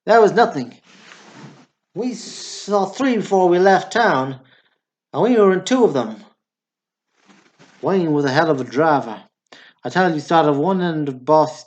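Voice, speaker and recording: A man's voice: fairly high pitch, darkish tone, lightly acted. Man acting a brash Texan hellraiser, drawling and profane. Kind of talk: stage-style radio drama acting.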